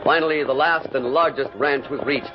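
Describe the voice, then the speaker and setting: An actor narrating an old radio western in a stately, suspenseful announcer's manner. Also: male voice, fairly high pitch, fairly even intonation, a little fast, natural voice. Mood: dramatic. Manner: stately, suspenseful, carefully enunciated. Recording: dull vintage radio, loud music behind.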